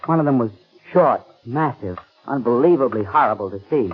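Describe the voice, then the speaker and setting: An actor playing a frightened murder witness with frayed nerves, overwrought and halting, in 1930s radio style. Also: male voice, mid-range voice, expressive, breathy edge. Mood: fearful. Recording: muffled old 1930s radio recording.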